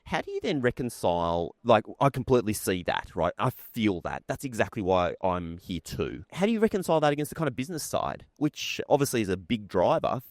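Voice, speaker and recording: A man with a fairly low voice hosts a podcast interview. He talks quickly, his pitch moving a lot, and he softens his questions with friendly hedges. The sound is close and dry. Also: sing-song, no room sound. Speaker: podcast host. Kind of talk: conversational interview. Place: conference venue.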